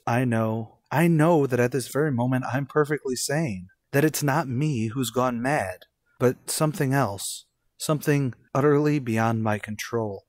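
An actor playing an anxious American man in his thirties in an old-time radio thriller, with measured, dramatic delivery. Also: medium pitch, a little mellow, no room sound, studio quality, close to an everyday voice. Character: anxious 36-year-old American man telling his eerie road story. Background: music.

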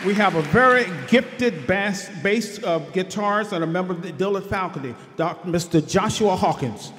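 A university choir director giving gracious, grateful formal remarks during a church service, speaking to an audience in a large chapel. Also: male voice, sits high, normal speed, very noisy. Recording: long chapel reverb, crowd and music behind.

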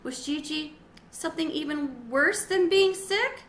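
A middle-aged woman giving an expressive, dramatized read-aloud of a children's story. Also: sits high, a little slow. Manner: animated, dramatizing. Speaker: storybook reader. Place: small sunroom with windows, indoors. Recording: roomy echo, faint background noise.

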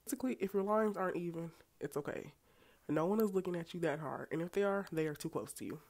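Low-voiced congested young woman vlogger. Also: fast talker, background noise. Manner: chatty, self-mocking asides. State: congested from a cold. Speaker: beauty vlogger. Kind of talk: casual makeup tutorial voice-over. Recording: faint background music.

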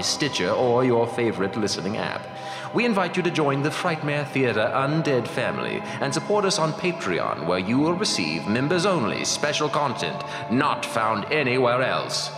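A man announcing scripted credits for a horror podcast in radio-announcer style. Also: medium pitch. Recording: echoey hall-like reverb under music.

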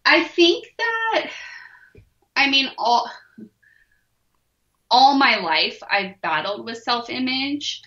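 Woman in her forties, self-deprecating, bright voice, dull roomy audio. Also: high voice, lively intonation, slow pace, long pauses. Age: 40s. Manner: open, self-deprecating, laughs easily. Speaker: interview guest, weightlifter. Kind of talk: candid podcast interview.

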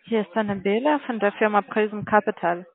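A woman's voice: medium pitch, a little fast. A woman on a sales call, formal, polite but firm. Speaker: call centre sales agent. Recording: narrow phone-line audio.

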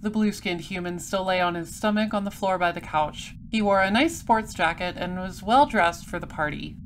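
A woman's voice: on the low side, normal speed, natural voice. Woman as sardonic space detective, audio drama, self-assured, wry, faint background noise. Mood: narrating. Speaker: detective and narrator. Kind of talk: audio drama acting and first-person narration.

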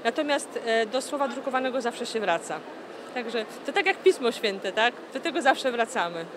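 A woman's voice: on the high side, big pitch swings, normal speed. A woman in her thirties, a nun, answering an interview at a book fair stand, earnest and full of hesitant fillers. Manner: earnest, many "e" fillers and "tak?" tags. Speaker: nun from a publishing house. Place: busy indoor book fair hall. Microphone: handheld interview mic. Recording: noisy book fair hall.

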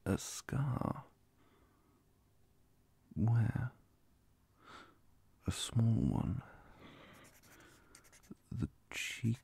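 A calm, authoritative vampire inquisitor acted out by a man for an ASMR roleplay. The delivery is extremely slow and deliberate, soft and formal, with a dark tone and heavy vocal fry. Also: mid-range voice, no room sound, voice pushed up, exaggerated voice. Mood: soothing, measured. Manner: slow, deliberate, measured questioning.

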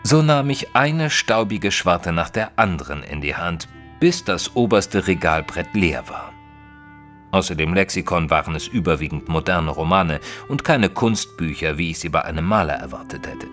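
An earnest gentleman companion recounts a detective story for an audio drama. His voice sits low, his delivery is measured and literary, and music plays behind him. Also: lively intonation. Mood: measured, curious. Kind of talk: scripted audio drama narration.

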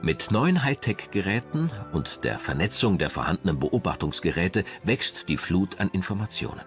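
A man reading scripted documentary narration in a measured, polished way. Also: low voice, big pitch swings. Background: background music.

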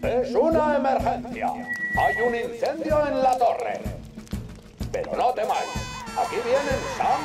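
An actor playing a pompous older fire station chief in a cartoon, speaking. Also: male voice, extremely high pitch, a little slow, music in the background. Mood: announcing. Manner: formal announcer tone.

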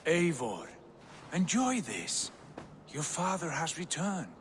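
A man's voice: fairly high pitch, sing-song, slow, a little hiss or hum. An actor playing a brash, swaggering Viking warrior in a video game, boisterous in battle and grave when he speaks of fate. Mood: solemn. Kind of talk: video game cutscene acting.

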